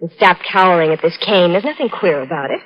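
A woman's voice: lowish voice, sing-song, fast talker, slight background noise. An actress playing a scheming young fiancée who sweet-talks her lover before coldly blackmailing him, in a 1940s radio drama. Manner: sweetly coaxing turning cold and cutting. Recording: dull old radio broadcast.

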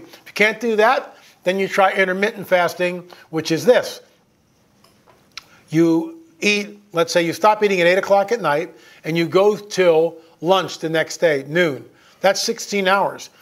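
A man in his sixties with a notably high-pitched voice gives informal, sermon-like commentary. He talks to listeners in a folksy, conversational way, pressing his points with emphasis and rhetorical questions. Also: fairly bright tone. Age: 60s.

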